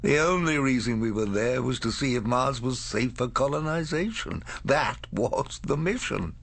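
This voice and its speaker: An actor playing a weary space captain in a radio drama, measured and grave, with calm authority. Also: male voice, medium pitch, creaky. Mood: grave, reflective. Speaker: captain and narrator.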